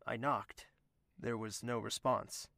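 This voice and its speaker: A man's voice: mid-range voice, fairly even intonation, slow pace, creaky, bright, forceful tone, no echo, studio quality, exaggerated voice. An actor in an audio drama playing an anxious, lovesick nobleman, hesitant and brooding as he talks and narrates. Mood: tender, worried. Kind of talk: audio drama dialogue and first-person narration.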